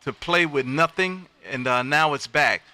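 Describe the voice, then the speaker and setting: Bright, forceful man on ham radio. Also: a little high, a little slow, no echo. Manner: loud, folksy, animated radio patter. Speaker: amateur radio operator and livestream host. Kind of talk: casual radio chat. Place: home radio room.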